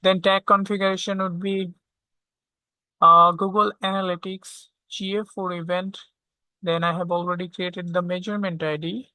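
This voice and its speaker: A tutorial instructor walking through software step by step, often checking in with a short questioning tag. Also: medium pitch, little pitch movement, moderate pace, clean tone, no room sound, poor audio. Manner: checks understanding with frequent "okay?".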